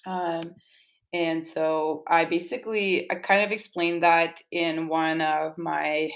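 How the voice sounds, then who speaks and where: Low-pitched young woman explaining informally. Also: little pitch movement, slow pace. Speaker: student poet being interviewed about own poem. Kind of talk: informal explanatory interview answers.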